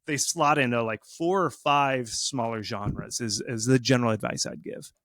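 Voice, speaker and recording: A man giving casual, animated explanations as an expert guest in an interview, speaking informally and with emphasis. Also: medium pitch, normal speed, no room sound, studio quality. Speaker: video game marketing expert, interview guest.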